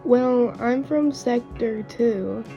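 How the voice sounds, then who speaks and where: A woman voicing an earnest, wounded runaway girl with strange powers in an amateur animated series. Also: fairly high pitch, extremely slow, creaky, full character voice. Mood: earnest, pained. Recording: dull lo-fi mic under faint music.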